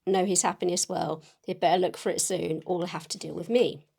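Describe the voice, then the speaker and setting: A woman's voice: lowish voice, fairly even intonation, a little fast, gravelly, dark tone, slightly rough. A woman voicing an earnest, plucky young male suitor in a dramatic reading, with a folksy, sincere manner. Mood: hearty, kind.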